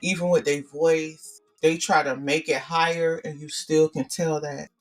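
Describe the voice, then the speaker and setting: A woman with a deep voice, co-hosting a casual podcast chat and pressing her points with repetition. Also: fairly even intonation, a little slow, distant mic, soft background music. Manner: opinionated, repeats points. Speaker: podcast co-host.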